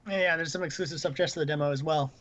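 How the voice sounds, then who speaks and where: Podcast co-host for casual games talk, rapid speaker, muffled stream audio. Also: medium pitch, steady tone, fairly bright tone. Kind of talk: casual gaming chat.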